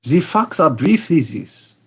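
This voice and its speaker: A man's voice: a little high, sing-song, takes it slowly, husky, voice pushed up, heavily acted. A man voicing a proud, haughty Bohemian king with a put-on German accent, raised well above his own voice.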